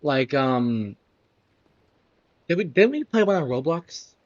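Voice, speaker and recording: A man's voice: mid-range voice, sing-song, no room sound. Young man rambling with fillers, dull mic. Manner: halting, filler-heavy, lots of "like" and "you know what I mean". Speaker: podcast co-host. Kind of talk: casual chat.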